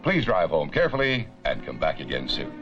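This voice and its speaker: A male announcer gives an old-fashioned, courteous closing announcement in a low voice. His formal delivery rises and falls broadly, and the dull archive recording has loud music behind it. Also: wildly swooping pitch, a little fast.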